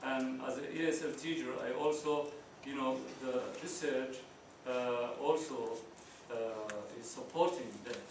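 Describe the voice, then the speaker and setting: A middle-aged man, an ESL teacher giving a workshop talk, halting with many restarts and fillers. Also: mid-range voice, steady tone. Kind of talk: workshop presentation. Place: conference room. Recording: echoey hall, distant mic, noisy.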